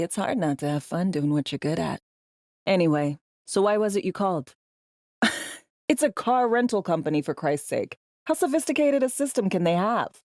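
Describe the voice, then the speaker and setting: A woman acting a brisk, sardonic, foul-mouthed veteran secret agent in video game dialogue, with dry, clipped, conversational phrasing. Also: on the low side, darkish tone, dry recording, natural voice. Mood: wry, confident.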